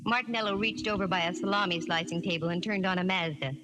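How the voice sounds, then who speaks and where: An actress playing a wisecracking, tough American private eye, narrating in a wry, hard-boiled 1940s radio drama style. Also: female voice, lowish voice, fairly even intonation, quick pace, crowd noise, lightly acted. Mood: grim, wry. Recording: 1940s radio recording, reverberant, music behind.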